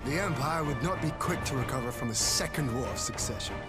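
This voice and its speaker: Guy acting a dignified lord in a game, formal and firm, loud music under him. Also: mid-range voice, natural voice. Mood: resolute. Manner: formal, deliberate. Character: dignified, resolute male lord of eastern realm. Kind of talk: video game cutscene acting.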